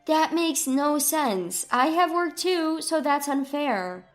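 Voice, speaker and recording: A woman's voice: high voice, expressive, takes it slowly, faint music behind. A woman voicing a wronged, outspoken daughter-in-law in a narrated family story, earnest and argumentative. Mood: indignant.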